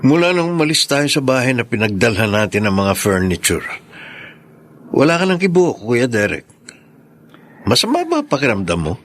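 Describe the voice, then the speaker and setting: A man's voice: mid-range voice, wildly swooping pitch, moderate pace, pauses often, a bit bright, slight background noise. A man acting an easygoing, considerate husband who runs a business, in a Tagalog radio drama. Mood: concerned, curious.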